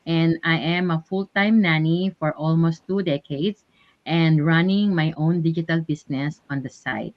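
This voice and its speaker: A woman's voice: deep voice, normal speed. A middle-aged woman hosts a live online panel chat. She is bubbly, laughs easily and fills her talk with "you know". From Philippines. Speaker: host. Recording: dull lo-fi call audio.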